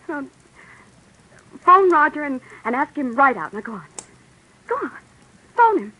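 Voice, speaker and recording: An actress playing a steady young wife who urges her panicked husband, in 1940s radio drama style, firm and soothing. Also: sits high, measured pace. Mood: urging. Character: steady young wife, calm under pressure. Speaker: wife and narrator. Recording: dull old radio recording.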